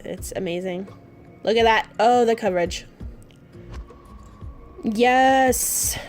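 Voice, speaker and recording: A woman talks through a makeup tutorial as a casual vlogger, with a mid-pitched voice. She is informal, playful and openly gushing. Music plays behind her. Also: darkish tone.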